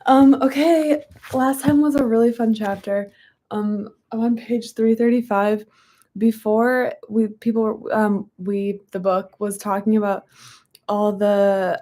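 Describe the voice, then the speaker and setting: A young woman with a hoarse voice, chatting casually and reading a book aloud, with frequent ums and likes. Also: mid-range voice, darkish tone.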